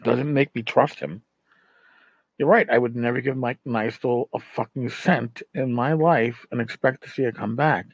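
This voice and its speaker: A man's voice: medium pitch, steady tone, moderate pace, breathy edge, no echo, low-quality recording. A middle-aged man hosting a gaming podcast, rambling through a casual rant in a blunt, profane, exasperated manner. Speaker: tabletop gaming podcast host. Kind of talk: casual ranting monologue.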